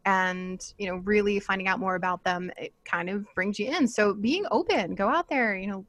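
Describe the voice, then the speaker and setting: A woman's voice: mid-range voice, moderate pace, fairly bright tone, no room sound. Chatty, laughter-prone woman on a podcast panel. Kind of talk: casual panel talk.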